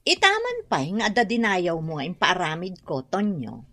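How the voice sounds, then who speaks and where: Highly expressive, haughty rich Ilocano lady. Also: normal speed, no echo, heavily acted. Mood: uneasy. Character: wealthy, haughty, melancholy employer lady. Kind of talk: Ilocano radio drama acting.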